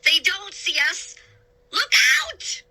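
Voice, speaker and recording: A woman voicing the contrary, demanding second head of a male sailor, who shouts to contradict. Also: mid-range voice, expressive, a little slow, exaggerated voice. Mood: alarmed.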